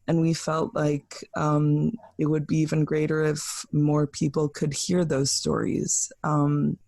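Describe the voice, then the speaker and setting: A woman's voice: low voice, gravelly, a little mellow, dry recording. A woman in her twenties from Switzerland, talking casually and a little hesitantly as a podcast co-host. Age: 20s.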